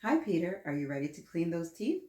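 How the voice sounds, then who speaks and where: A woman's voice: fairly low pitch, very animated, fairly quick, warm tone. Woman voicing a friendly toothbrush pal, echoey room. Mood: friendly, concerned. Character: friendly, eager talking toothbrush, helpful buddy to a boy. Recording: roomy, echoing room sound.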